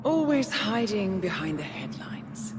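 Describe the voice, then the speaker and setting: A woman playing a mocking, cold female tormentor in a game cutscene, taunting in a sardonic, drawn-out way. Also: mid-range voice, very animated. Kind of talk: video game cutscene acting. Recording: reverberant with clear background noise.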